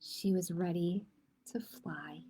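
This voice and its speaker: Slow-speaking woman hosting a children's storytime. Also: on the low side, fairly even intonation, mellow, slight background noise. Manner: simple, encouraging explanations for young listeners. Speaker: library storytime host. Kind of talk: reading picture books aloud to children.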